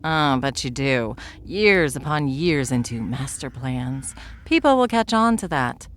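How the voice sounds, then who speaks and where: A woman playing a smug, world-weary veteran witch in a fantasy audio roleplay, dry, condescending and unhurried. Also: extremely low pitch, lively intonation.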